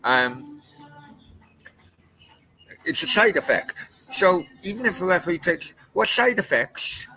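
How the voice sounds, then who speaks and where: Sweary, animated man in his forties. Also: sits high, slow, pauses often, breathy edge, soft background music, rough recording. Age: 40s. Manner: blunt, sweary, emphatic ranting. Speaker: podcast host. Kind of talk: late-night casual chat.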